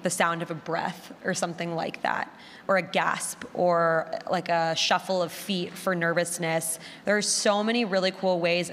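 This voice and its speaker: A woman's voice: lowish voice, fairly bright tone. A woman speaking conversationally as a producer on a panel, enthusiastic and stressing her words by repeating them. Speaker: audio drama producer, panelist. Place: large room at a live conference panel. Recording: reverberant hall.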